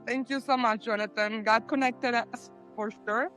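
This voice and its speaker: A woman's voice: on the high side, fairly even intonation, unhurried, a bit bright, faint music behind. A woman with a Colombian accent, a podcast guest telling her life story in casual, rambling talk full of fillers and restarts. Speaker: guest, business founder. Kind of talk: casual interview chat.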